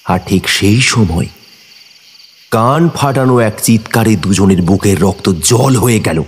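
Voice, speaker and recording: A man's voice: on the low side, a little slow, breathy edge. A man narrating a ghost story in a suspenseful, dramatic storytelling manner. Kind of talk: scripted horror narration.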